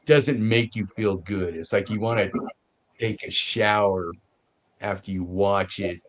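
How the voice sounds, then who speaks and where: A man giving a casual movie review as a show host, haltingly searching for his words. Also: on the low side.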